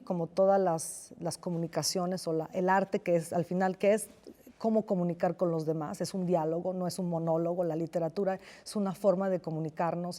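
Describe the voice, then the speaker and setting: Una escritora de origen italiano con acento italiano, entrevistada en televisión; habla reflexiva, con titubeos y muchas pausas. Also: female voice, fairly low pitch, mellow. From Italy. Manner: thoughtful, hesitant, many restarts and fillers. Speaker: novelist guest. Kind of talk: television interview.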